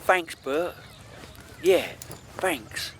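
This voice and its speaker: An actor playing a naive, deferential petty crook in a radio comedy, earnest and humble. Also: male voice, on the high side, lively intonation, extremely slow, a little hiss or hum, exaggerated voice. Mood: humbled, surprised. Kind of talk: radio comedy-drama acting.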